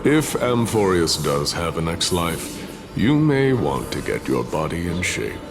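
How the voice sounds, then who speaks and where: An actor playing a sarcastic, amused travelling companion with a teasing, leisurely delivery in a video game. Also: male voice, sits low, big pitch swings, measured pace, exaggerated voice. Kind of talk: dramatic video game voice acting. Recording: game dialogue over prominent music.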